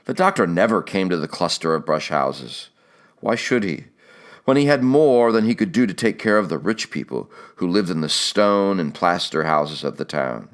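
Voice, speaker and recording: Low-pitched man reading a novel aloud. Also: lively intonation, normal speed. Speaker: audiobook narrator. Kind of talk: scripted literary narration.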